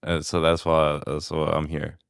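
Deep smooth young man, laid-back. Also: monotone, measured pace, dry recording, studio quality. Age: 20s. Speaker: podcast guest. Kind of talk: casual chat.

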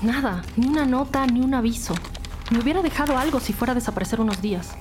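A woman's voice: fairly high pitch, fast talker, noisy background, lightly acted. A woman playing a methodical, level-headed police detective in an audio drama. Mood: concerned.

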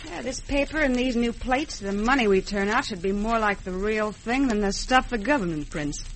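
A woman's voice: on the high side, lively intonation, normal speed, natural voice. An actress playing a shrewd, wary female counterfeiter in an old-time radio western, with a folksy, businesslike way of talking. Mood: confident. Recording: noisy old radio recording.

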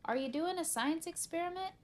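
Woman doing an excitable, high-pitched young boy. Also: brisk. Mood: curious. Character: excitable young boy, the hero's eager best friend. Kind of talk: children's storybook character voice.

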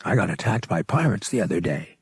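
A young pilot acted by a male narrator talks in a slangy, casual way with a sympathetic edge. The voice is husky and creaky, in a dry audiobook recording. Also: low-pitched, expressive, brisk, no echo, exaggerated voice. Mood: conspiratorial, casual.

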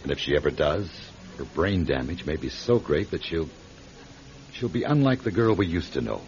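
Physician: extremely deep, creaky, measured, frank and sympathetic; muffled radio drama. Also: male voice, very animated, darkish tone. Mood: grave, frank. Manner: measured, reassuring. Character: measured, caring male doctor, frank and persuasive. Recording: muffled radio broadcast recording.

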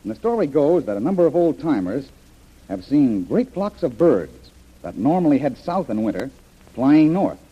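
Hearty adventure hero, husky, animated intonation, vintage radio drama, dull recording. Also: male voice, medium pitch, moderate pace. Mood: intrigued. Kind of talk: old-time radio adventure serial acting. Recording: muffled vintage radio broadcast.

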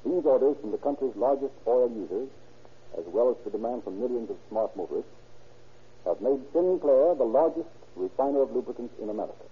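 A man narrating and announcing in formal, declamatory 1930s radio style, with a rough, grainy voice. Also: medium pitch, lively intonation, a little fast. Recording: muffled vintage 1930s radio recording.

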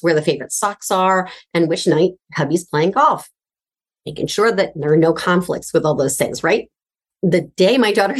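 A middle-aged woman hosting a talk show, telling a personal story in a candid, chatty way with self-deprecating asides. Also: sits low.

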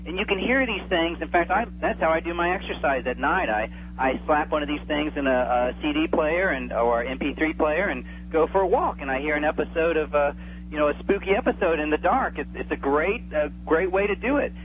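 A man's voice: fairly high pitch, a little fast. A man chatting enthusiastically as an expert guest in a casual radio interview, calling in by telephone. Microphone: telephone call. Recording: tinny phone line with hum and hiss.

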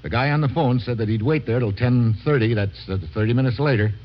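An actor playing a tough, brusque American investigator in a classic radio drama, with blunt, slangy talk. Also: male voice, medium pitch, quick pace, a little hiss or hum, close to an everyday voice. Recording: dull vintage radio recording.

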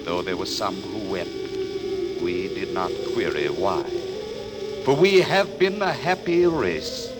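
A man's voice: deep voice, expressive, slow pace. A man playing the solemn last survivor of an ancient race in an old radio drama, with a grave, archaic, ceremonial delivery. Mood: solemn, resigned. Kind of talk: 1950s radio drama acting. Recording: old radio recording, loud music behind.

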